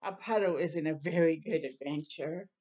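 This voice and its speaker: A woman's voice: low voice, moderate pace, mellow, highs cut off, voice pushed down. A woman voicing an imaginative, eager and slightly bossy little girl in a children's storybook, with an emphatic manner. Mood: matter-of-fact. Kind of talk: children's storybook read-aloud.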